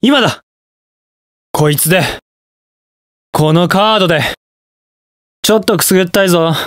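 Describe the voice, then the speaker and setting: A man's voice: high-pitched, moderate pace, fairly bright tone, no echo. A young man voicing a cocky, aloof masked rider hero in a video game, with a cool, dry, self-assured delivery. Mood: fierce battle cries. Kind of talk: game voice lines.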